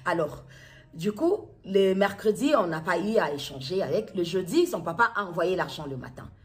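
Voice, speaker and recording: Lower-voiced middle-aged Congolese woman, halting delivery. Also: big pitch swings. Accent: Congolese, from Kinshasa. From Democratic Republic of the Congo. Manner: halting, repetitive, circles back to restate phrases. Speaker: mother making a public appeal video. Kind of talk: personal testimony to camera, unscripted recounting.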